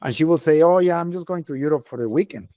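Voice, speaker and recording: A man's voice: fairly high pitch, fast, dark tone, no room sound. A man from North America hosting an interview podcast, friendly and curious, with frequent restarts. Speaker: podcast host. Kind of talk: casual interview chat.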